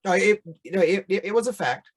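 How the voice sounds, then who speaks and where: A man guest in a casual chat, speaking in a measured storytelling way with small repeats. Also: high-pitched, animated, breathy edge.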